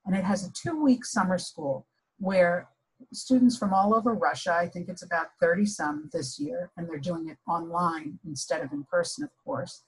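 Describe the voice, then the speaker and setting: A woman's voice: on the low side, moderate pace, slightly rough, poor audio. A woman law professor speaking informally on a conference panel over a video call.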